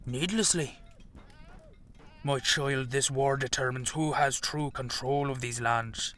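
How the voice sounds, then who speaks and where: A man's voice: medium pitch, takes it slowly, a bit bright. Guy playing a stern old dragon king dad, proud and bossy, formal warlord talk, fantasy audio play. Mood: indignant. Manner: commanding, formal, imperious. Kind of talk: dramatic audio roleplay acting.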